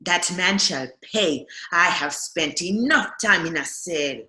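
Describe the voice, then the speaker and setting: A woman's voice: fairly low pitch, lively intonation, slow, sharp tone, full character voice. A woman playing an imperious, indignant fairy-tale prisoner who speaks formally and insistently in a radio play. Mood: resolute. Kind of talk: irreverent radio play acting.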